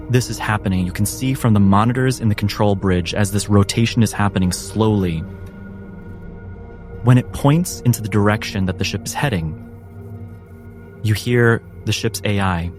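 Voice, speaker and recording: Low-voiced man narrating over music. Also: a little mellow. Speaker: game master. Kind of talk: cinematic game narration. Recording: music bed behind the voice.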